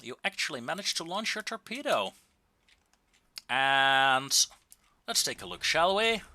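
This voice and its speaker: A man giving casual, wry and understated commentary on a video game, with drawn-out pauses, into a close microphone. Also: fairly high pitch, big pitch swings, sharp tone, no echo.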